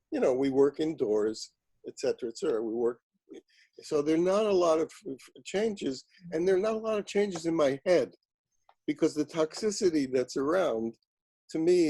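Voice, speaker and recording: Hesitant man with a dark, dry voice; casual video-call chat. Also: a little high, lively intonation, no echo. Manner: hesitant, halting, wry. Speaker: novelist.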